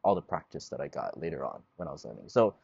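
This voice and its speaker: A man in his twenties, a guest in a relaxed outdoor panel talk, explaining at length and gesturing as he speaks. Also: rumbling low, quick pace, vocal fry, slightly rough, dry recording, lo-fi sound. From Guam. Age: 20s. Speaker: guest, Chamorro language learner. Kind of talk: casual panel conversation. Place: outdoors at a table in a leafy garden. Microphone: lapel mic.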